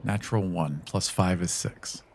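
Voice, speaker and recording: A man's voice: deep voice, unhurried, slight background noise. A man talking casually at the game table as a player in a tabletop roleplaying game.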